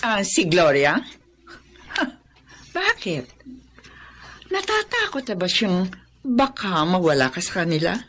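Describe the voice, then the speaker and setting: A woman's voice: lowish voice, sing-song, slow, pauses often, a bit bright, slight background noise, full character voice. A woman playing a vengeful, domineering and seductive rich employer in a theatrical Filipino radio drama. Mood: taunting, seductive. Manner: haughty, theatrical.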